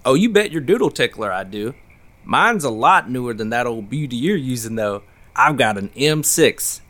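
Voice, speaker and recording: A man's voice: mid-range voice, lively intonation, normal speed, fairly bright tone, close to an everyday voice. An actor playing a garrulous, folksy big man, a self-satisfied hobbyist and small-time officer, in an audio drama. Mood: proud, boastful.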